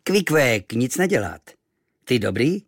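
A man voicing a loud, cheerful tattooed islander harpooner who talks in broken phrases and bursts into shrieks. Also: fairly low pitch, sing-song, vocal fry, breathy edge, dry recording, clean studio sound, full character voice. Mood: boisterous. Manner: broken speech, shrieking outbursts.

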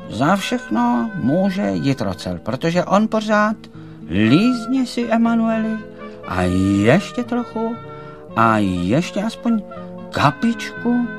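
Storybook butterfly fop, male actor: carefree, fussy, a bit tipsy, lurching up and down as he wheedles. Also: mid-range voice.

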